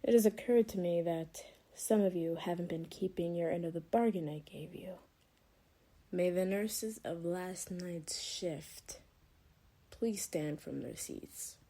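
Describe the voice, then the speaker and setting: A woman acts out a female doctor with two sides, tender and quietly menacing. Her voice is mellow and somewhat low, delivered slowly and intimately in hushed ASMR roleplay style. She sounds sweetly condescending and in control. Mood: coldly formal. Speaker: voice performer.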